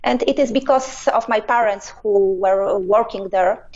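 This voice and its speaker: A middle-aged woman answering interview questions over a phone line, speaking haltingly with many pauses and filler sounds. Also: medium pitch, a little mellow. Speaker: interview guest, business owner. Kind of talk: hesitant conversational answers.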